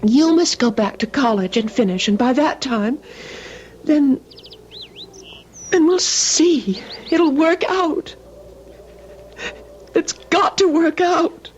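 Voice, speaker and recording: An actress in an old radio drama playing an anxious, devoted young woman pining for her fiancé, speaking haltingly and emotionally. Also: high voice. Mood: guarded, hopeful. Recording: muffled, noisy old radio recording.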